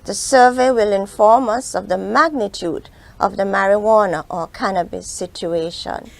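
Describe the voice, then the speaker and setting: A woman's voice: medium pitch, fairly even intonation, normal speed, gravelly. A woman delivering a prepared public statement as an official. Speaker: medical official, commission chair.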